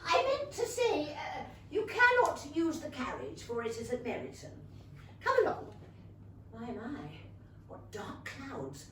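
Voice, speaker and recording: A woman's voice: sits high, very animated, a little slow, a bit bright, recorded from a distance, exaggerated voice. An actress playing a fussy, scheming Regency mother in a theatrical period comedy. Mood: flustered, scheming. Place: small London theatre. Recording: roomy stage pickup from afar, noisy.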